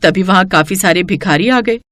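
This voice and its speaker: A woman's voice: medium pitch, normal speed, a bit bright, no room sound. A woman narrating a scripted moral story in a storyteller's style.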